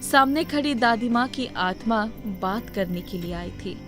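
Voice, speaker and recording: A woman narrates a scripted moral story. Her voice sits a little high, in a storyteller's delivery. Music is clearly heard behind her. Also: animated, measured pace.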